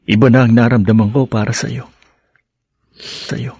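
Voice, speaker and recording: An actor playing a devoted, humble man in love in a radio drama, speaking haltingly and earnestly. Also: mid-range voice, flat delivery, darkish tone, slightly rough, no room sound, studio quality, natural voice. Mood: earnest, lovestruck.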